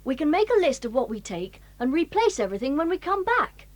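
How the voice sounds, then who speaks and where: A woman's voice: sits high, sing-song, a little fast, no echo. An actress playing a sensible, organised eldest boy who takes charge of the group. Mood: planning.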